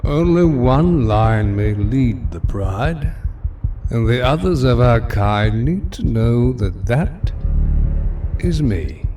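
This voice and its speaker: An actor playing a menacing older supervillain, theatrical and haughty, in an audio drama. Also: male voice, medium pitch, lively intonation, far from the mic, over music. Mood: scornful. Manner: theatrical, haughty, drawn-out phrasing. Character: menacing older male mutant supervillain, imperious and scornful.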